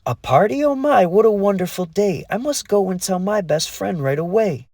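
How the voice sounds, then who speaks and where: A man's voice: normal speed, no room sound, studio quality. A man voicing a cheerful, caring young female fairy in a rhyming storybook, in a bubbly, raised voice. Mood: excited. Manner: bubbly, excited, high-pitched. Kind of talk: storybook read-along voice.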